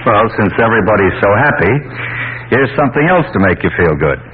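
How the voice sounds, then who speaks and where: A man's voice: lowish voice, very animated, fairly quick, a little hiss or hum. A man reading a 1940s radio commercial in an upbeat announcer style. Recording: tinny old radio broadcast.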